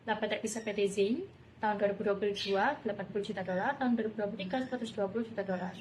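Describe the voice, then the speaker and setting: A young woman reads out an exercise for a student presentation. Her delivery is quick and steady, at a middling pitch. There is faint noise behind her. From Indonesia. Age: young adult. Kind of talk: reading a problem aloud for a presentation.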